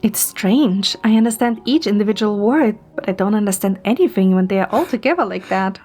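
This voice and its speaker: A woman's voice: medium pitch, a little fast, faint music behind, lightly acted. A woman acting a playful, chatty, curious young woman who teases her friend, in an amateur audio drama. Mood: teasing. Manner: teasing, animated, expressive.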